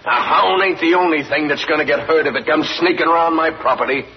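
A man voicing a gruff, hostile farmer who snaps short threats at everyone, heard in a vintage radio play. The recording is dull and lo-fi, with a faint hiss underneath. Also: on the high side, fast talker, natural voice. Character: surly hostile middle-aged sheep farmer. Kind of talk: 1940s radio acting.

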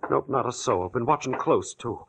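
An actor playing a jittery, folksy rancher with a greedy streak in an old-time radio drama. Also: male voice, medium pitch, animated, moderate pace, gravelly, background noise. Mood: uneasy, folksy. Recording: dull vintage radio recording.

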